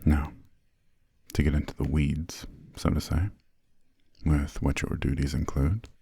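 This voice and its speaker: A man playing an imperious, centuries-old vampire lord in an ASMR roleplay, courteous but condescending, with formal, measured, soft speech and a breathy, gravelly voice close to the microphone. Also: extremely low pitch, flat delivery, dry recording, full character voice. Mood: condescending, wry. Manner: slow, measured, formal, haughty, drawn-out pauses. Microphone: close, dry studio microphone.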